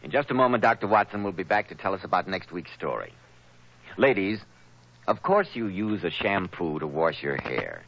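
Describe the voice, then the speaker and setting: An American man announcing in polished 1940s radio style, with deliberate emphasis, on an old broadcast recording. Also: low voice, lively intonation, low-quality recording.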